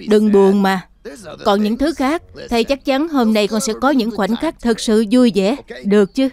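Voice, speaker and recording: A man voicing a patient, caring adult bear teacher in a children's cartoon dub, gentle and instructive. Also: high voice, normal speed, close to an everyday voice. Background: background music.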